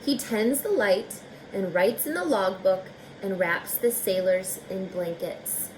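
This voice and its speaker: A woman's voice: medium pitch, slight background noise, poor audio. A woman teacher reading a children's picture book aloud expressively, with chatty asides spoken directly to young listeners. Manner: slow, deliberate phrasing, talking directly to young listeners.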